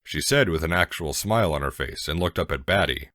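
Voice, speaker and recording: A man's voice: a bass voice, expressive, fairly quick, sharp tone, no echo, clean studio sound. A man narrating a scripted fan fiction story as a dramatic reading.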